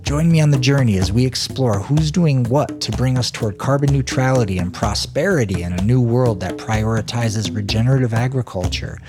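A male podcast host who reads his opening in a steady, even voice. A clear music bed plays underneath. Once the interview starts outdoors, he becomes upbeat and encouraging, asking short questions. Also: medium pitch. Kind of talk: scripted intro, then casual interview questions. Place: outdoors on a farm.

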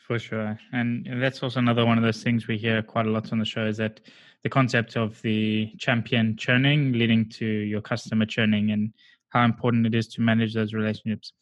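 A man hosting a podcast, asking questions in a relaxed way with some fillers. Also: on the low side, brisk, no room sound, highs cut off. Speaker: podcast host. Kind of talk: casual interview chat.

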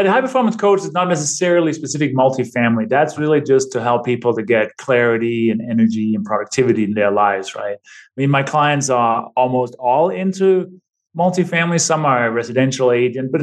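A middle-aged man speaks as a podcast guest, casual and open. His delivery keeps looping back on itself, with frequent restarts and a habit of checking in with "right?" His pitch sits in an ordinary middle range. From Denmark. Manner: restarts often, tags points with "right?". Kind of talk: casual interview talk.

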